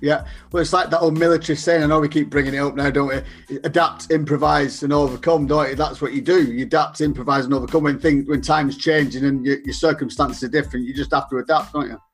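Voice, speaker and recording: A man with a Northern English accent chats as a podcast guest. His voice is husky and a little high for a man, and he is casual and talkative, often tagging questions onto his remarks. Music sits faintly behind him. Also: fairly even intonation, fast, hardly any pauses. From Northern England. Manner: chatty, ends remarks with tag questions. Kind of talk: casual conversational interview.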